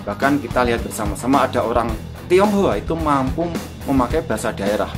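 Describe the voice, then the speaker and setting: A man's voice: medium pitch, animated, a little fast. A man with a thick Javanese accent who hosts a channel, giving commentary that lectures and asks rhetorical questions. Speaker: YouTube channel host. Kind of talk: commentary after a reaction clip. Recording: music playing underneath. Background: guitar music.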